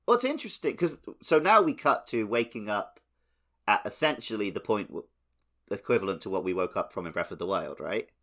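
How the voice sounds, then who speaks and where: A man with a somewhat low-pitched voice speaks as a podcast co-host. He talks in an informal, conversational style, as in a chat about games. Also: expressive, fairly quick, a bit bright. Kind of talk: casual gaming podcast chat.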